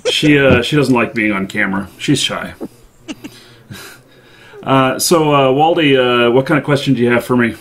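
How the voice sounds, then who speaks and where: A man hosting a live show, chatting casually about games in a halting way with pauses mid-thought. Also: mid-range voice, a bit bright.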